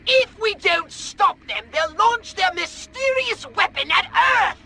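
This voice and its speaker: A man voicing a haughty, melodramatic alien mastermind with a gravelly voice in a video game cutscene. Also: very high voice, lively intonation, measured pace, pushed and bright, exaggerated voice. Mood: indignant. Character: shrill, imperious male alien mastermind, easily alarmed.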